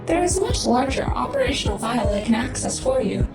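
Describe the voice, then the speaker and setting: A woman voicing a poised computer intelligence that narrates military files in formal, measured tones for a sci-fi audio drama. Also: high voice, sing-song. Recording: roomy echo, distant, under loud music.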